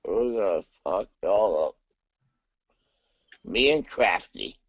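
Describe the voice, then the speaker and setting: A man chatting loudly and teasingly on a group video call while drinking. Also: lowish voice, animated, slow. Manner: loud, drunken, teasing. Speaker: hangout regular. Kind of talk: rowdy group video chat. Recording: dull lo-fi call audio.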